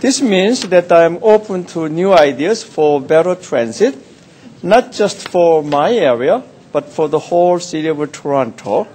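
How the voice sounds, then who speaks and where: An older man from South Korea, a city councillor giving a measured, halting nomination speech. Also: a little high, animated, a little mellow, husky. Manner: measured, halting, many "uh" pauses. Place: council chamber.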